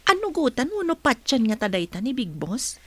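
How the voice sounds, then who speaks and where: An actress with a Filipino Ilocano accent playing a brisk, probing office superior in a radio drama. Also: female voice, a little high, big pitch swings, a little mellow, dry recording. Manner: probing, instructing.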